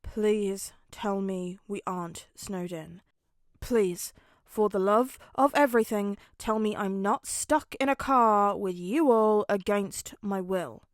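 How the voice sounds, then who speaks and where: A woman voicing a weary, grumpy dad who grumbles in a dry, exasperated deadpan for an audio story. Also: medium pitch, slow pace, dry recording, natural voice.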